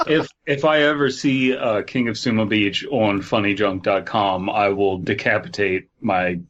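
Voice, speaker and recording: A man's voice: on the low side, fairly even intonation, a little slow, lo-fi sound. A man hosting a podcast, reading aloud and bantering in a joking, sardonic way. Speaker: podcast host. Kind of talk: casual banter, reading a horror wiki aloud.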